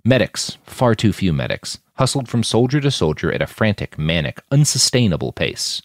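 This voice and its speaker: A man's voice: on the low side, very animated, moderate pace, gravelly, no echo, studio quality. A man reading scripted fiction as the narrator of an audiobook. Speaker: author narrator.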